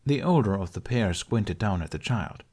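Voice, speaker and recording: Male audiobook narrator, lowish pitch, scripted storytelling read. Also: expressive, normal speed, a little mellow.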